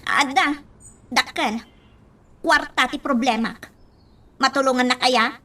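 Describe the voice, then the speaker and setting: A pushy, impatient woman in a radio drama. Her voice is extremely high and sharp, and she nags and scolds with exasperated insistence. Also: takes it slowly, heavily acted. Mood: complaining. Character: bossy, impatient older sister figure, moody and pushy. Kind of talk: radio drama acting in Ilocano.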